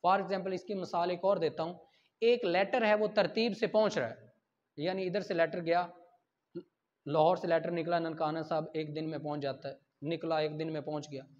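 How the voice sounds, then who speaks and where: A man's voice: a little high, steady tone, normal speed, a little mellow. A man with a Pakistani accent lecturing as a law teacher, explaining through examples, asking and answering his own questions and mixing in English terms. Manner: code-switches into English terms. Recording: dull lo-fi lecture audio.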